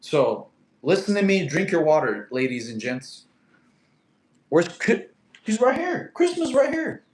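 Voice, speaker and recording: A man's voice: sits high, big pitch swings, unhurried, slight background noise. Laid-back man in an echoey room. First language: Russian. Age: 30s. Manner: laid-back, chatty, laughs often, talks to his cat. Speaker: livestream host. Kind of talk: casual live chat reading comments.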